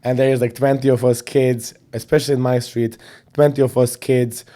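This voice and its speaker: A young man from Serbia, a boxing coach, chatting casually as an interview guest in animated storytelling fashion. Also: medium pitch, brisk. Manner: animated storytelling with slang fillers.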